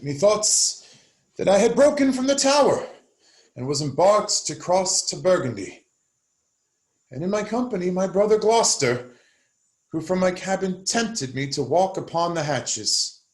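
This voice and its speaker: Casting: man to play anguished Shakespearean nobleman, slow, highly varied pitch, roomy livestream sound. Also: expressive. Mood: uneasy, recounting. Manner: dramatic, anguished. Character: Clarence, troubled nobleman haunted by fearful dreams. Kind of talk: classical Shakespeare stage acting.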